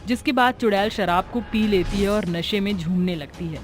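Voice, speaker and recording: A woman's voice: mid-range voice, normal speed. A woman reading scripted story narration as the narrator of a moral tale. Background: background music.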